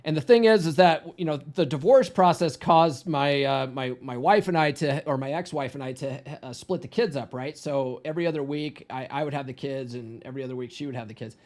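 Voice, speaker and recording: A man's voice: mid-range voice, brisk, hardly any pauses, a little mellow. A man in his forties, a guest coach, telling stories casually and laughing easily. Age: 40s. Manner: chatty storyteller, laughs easily, repeats words when starting. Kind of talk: casual conversation.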